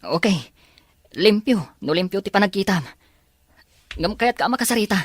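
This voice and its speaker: A woman's voice: medium pitch, animated, moderate pace, slightly rough, no room sound. A woman playing an earnest, persistent young male student and devoted son in an audio drama. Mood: defensive.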